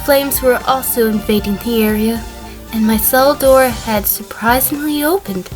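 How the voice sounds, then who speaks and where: A woman playing a cool, guarded sheep defendant testifying deliberately in a fan audio drama. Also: on the high side, music in the background, lightly acted. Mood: composed, recounting. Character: female sheep defendant, cool, guarded former assistant mayor.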